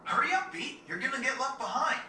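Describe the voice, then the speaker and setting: A man voices a plucky, bossy boy leader in a game cutscene, high and lively in pitch. He is brisk and decisive, with heavy echo around the voice. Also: slight background noise, heavily acted. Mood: impatient. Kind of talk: video game cutscene acting. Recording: very echoey, distant, faint music.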